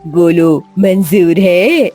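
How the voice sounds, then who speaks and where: Woman as a sly, slow-talking cartoon ghost. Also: fairly low pitch, dark tone, soft background music. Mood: gloating. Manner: slow, drawn-out, commanding. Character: sly bloodthirsty female ghost offering bargains. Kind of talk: cartoon storybook voice acting.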